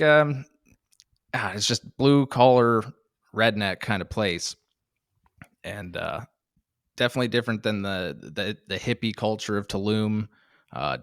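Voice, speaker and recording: A man's voice: on the low side, clear, resonant tone, no echo, studio quality. A young adult man from Canada with a Canadian accent, chatting casually as a comedian guest on a podcast, joking and laughing often between filler words. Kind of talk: casual chat.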